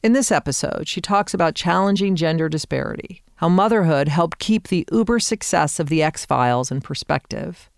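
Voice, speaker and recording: A woman's voice: deep voice, expressive, moderate pace, gravelly, hardly any pauses, no echo, studio quality. A woman hosting a podcast, reading an upbeat intro and chatting with her guest. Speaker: podcast host. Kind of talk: interview and scripted intro.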